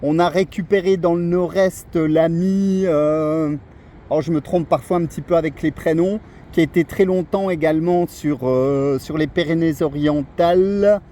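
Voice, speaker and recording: Hesitant middle-aged man, soft mellow voice. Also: on the high side, steady tone, normal speed. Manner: hesitant, searching for words. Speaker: amateur CB radio operator. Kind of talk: casual radio chat.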